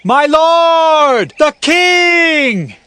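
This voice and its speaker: An actor playing a young warrior in a scripture audio drama, pleading earnestly with his king in declamatory, drawn-out phrases. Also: extremely high pitch, sing-song, bright, forceful tone, no echo, heavily acted. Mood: calling out.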